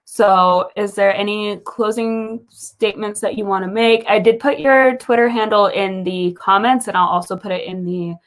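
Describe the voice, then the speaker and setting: A woman hosts a casual live stream in a relaxed, giggly way. She keeps her replies short and easygoing. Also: mid-range voice, normal speed, few pauses, poor audio. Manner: laid-back, giggly, brief backchannels. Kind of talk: casual chat.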